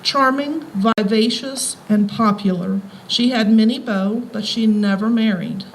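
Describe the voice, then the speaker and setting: A woman's voice: a little high, a little slow, poor audio. American female, teacher addressing an event. Folksy, direct, informal reading aloud, live recording with faint noise. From Front Royal, Virginia, USA. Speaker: schoolteacher, heritage society president giving a reading. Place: live talk at a historic house tour.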